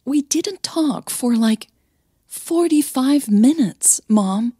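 A woman's voice: high-pitched, expressive, takes it slowly, dark tone, husky, no echo, clean studio sound, voice pushed up, heavily acted. A woman voicing an anxious, exasperated young woman in an audio drama, emphatic with her mother. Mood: distressed.

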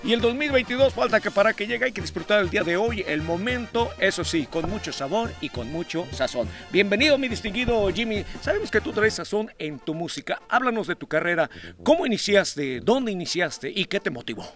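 A man's voice: sits high, big pitch swings, brisk, over music. A man hosting outdoors with a handheld mic, joking and chatting in a playful, wordy, folksy way. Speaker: host. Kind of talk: upbeat show hosting with jokes. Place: outdoor fairground patio.

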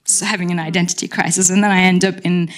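A woman's voice: fairly low pitch, level tone, fast talker. A young woman on a panel in a university seminar room, telling her own settlement story in an earnest, frank and reflective way. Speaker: panelist sharing lived experience.

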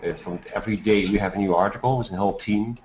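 A man's voice: fairly low pitch, fairly even intonation, fast, muffled recording, a little hiss or hum. Older man, echoey call audio. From Netherlands. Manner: rambling, hesitant. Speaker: panelist. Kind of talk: self-introduction on video call. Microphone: headset on video call.

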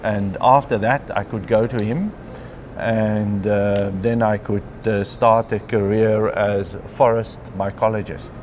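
South african guy, 50s, low voice, rambling chat in a big glass hall, bit of traffic hum. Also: steady tone, slow pace, darkish tone. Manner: chatty, frequent ums and clears throat. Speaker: interviewee, mycologist. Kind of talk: relaxed conversational storytelling. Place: large glass atrium of a conference venue. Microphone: lapel mic.

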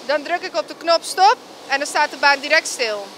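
A woman's voice: high-pitched, lively intonation, moderate pace, fairly bright tone, slight background noise. A woman ski instructor explaining the lessons plainly in an interview. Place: indoor ski hall.

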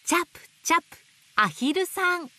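A woman playing a gentle, motherly owl teacher who reads picture books to toddlers with playful sound words. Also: very high-pitched, animated, normal speed, full character voice. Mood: playful storytelling. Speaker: picture book storyteller for babies. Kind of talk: picture book read-aloud for toddlers. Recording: faint background noise of nature. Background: nature sounds.

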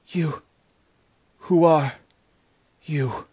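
A man voicing a frightened, dying young village girl in a storybook reading, with weak, breathy gasps. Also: on the high side, drawn-out words, dark tone, dry recording, pitched above the performer's own voice, heavily acted.

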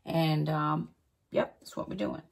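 A woman's voice: sits low, steady tone, measured pace, gravelly, a little hiss or hum. A woman in her forties chatting casually to camera as a home vlogger, upbeat and saying y'all, filmed in a walk-in closet at home.

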